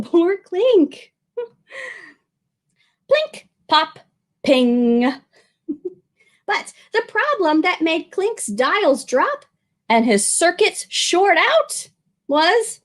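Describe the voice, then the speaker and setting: A woman speaking as a children's librarian at storytime, expressive and addressing young listeners directly. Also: very high-pitched, drawn-out words, pauses often. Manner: animated, expressive, with exclamations and questions to young listeners. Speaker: children's librarian reading aloud. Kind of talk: storytime picture-book reading and friendly address to children.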